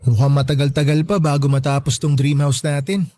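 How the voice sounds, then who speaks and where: A man's voice: on the high side, little pitch movement, fairly quick, mellow, no echo, close to an everyday voice. An actor playing a young man, a devoted partner and wounded son, in a Filipino radio drama. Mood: tender, easygoing.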